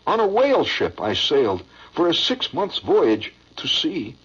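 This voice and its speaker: Guy doing a mournful dad reciting old melodrama, real husky, drawn out n theatrical, muffled old radio sound. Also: fairly high pitch, full character voice. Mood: grave, sorrowful. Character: solemn, sorrowful father reciting old melodramatic stage monologue. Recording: dull lo-fi old radio broadcast.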